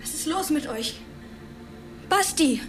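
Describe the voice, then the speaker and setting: High-voiced, indignant teenage girl. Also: lively intonation, takes it slowly. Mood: pleading. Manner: urgent, pleading, reproachful. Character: earnest, indignant, compassionate teenage schoolgirl in danger. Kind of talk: naturalistic radio drama acting.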